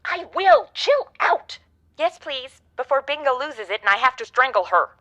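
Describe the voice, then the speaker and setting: A woman's voice: high-pitched, sing-song, normal speed, noisy background. A woman playing a slangy, flippant modern young woman, heard through a telephone effect in a comedic audio drama. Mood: flippant, impatient. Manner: slangy, offhand.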